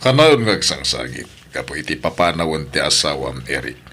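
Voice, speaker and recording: A concerned old Filipino grandfather in an audio drama. His low voice moves expressively as he gives grave, stern advice. Light noise sits in the background. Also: big pitch swings, fairly quick, bright, forceful tone, heavily acted. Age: older.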